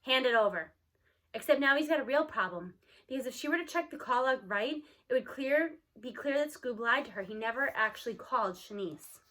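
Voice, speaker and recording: A woman reading a storybook aloud as narrator, expressively, with an animated manner for young listeners. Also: high voice, a little fast. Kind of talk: expressive storybook read-aloud with character dialogue.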